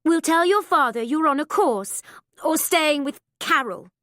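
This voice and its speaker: A woman's voice: sits high, expressive, unhurried, pushed and bright, dry recording, clean studio sound, pitched above the performer's own voice, heavily acted. A woman voicing a frantic, controlling middle-aged mother who pleads and then screams. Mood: urgent.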